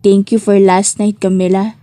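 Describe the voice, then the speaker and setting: A woman's voice: mid-range voice, flat delivery, normal speed, mellow, no room sound, clean studio sound, lightly acted. Woman reading as a confident flirty bachelor. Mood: smooth, teasing. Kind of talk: audiobook character dialogue.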